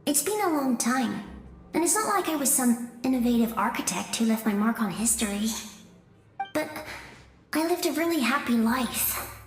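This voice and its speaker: A devoted younger sister with a high, tender voice. She is affectionate and expressive, a game character heard in an echoey space over soft music. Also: moderate pace. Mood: content, gentle. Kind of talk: video game voice acting.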